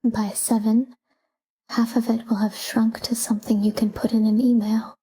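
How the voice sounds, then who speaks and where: A woman guiding a sleep meditation in a calm, hushed, breathy whisper, soothing and soft. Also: a little high, normal speed, poor audio.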